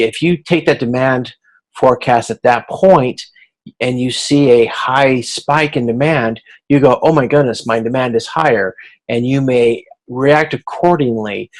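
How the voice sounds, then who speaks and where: A man speaking as an instructor in an informal recorded lecture, explaining in a halting, conversational way with a gravelly voice. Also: medium pitch, poor audio. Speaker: instructor giving class feedback.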